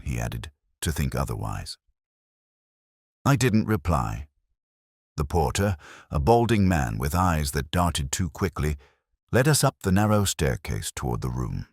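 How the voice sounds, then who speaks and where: A man playing a world-weary, middle-aged English detective, narrating a mystery in a measured, reflective, literary and sombre way. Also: very deep voice, a little mellow, no room sound, clean studio sound, full character voice. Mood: sombre, watchful. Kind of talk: scripted first-person mystery narration.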